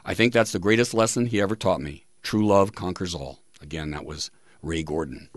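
Male radio host, lowish pitch, measured emphatic reading delivery, close and dry studio mic. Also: no echo. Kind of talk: reading listener tributes and quotes on a talk show.

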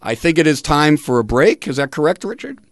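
A man hosting a radio talk show, reading aloud with pauses for emphasis in a studio, close to the microphone. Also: on the high side, sing-song, no echo. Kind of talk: reading listener tributes and quotes on a talk show.

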